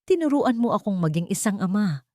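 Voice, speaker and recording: A woman's voice: medium pitch, big pitch swings, brisk, dark tone, no room sound, clean studio sound, lightly acted. A woman voicing a devoted, earnest father in an audiobook love story. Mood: tender, earnest. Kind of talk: audiobook character dialogue.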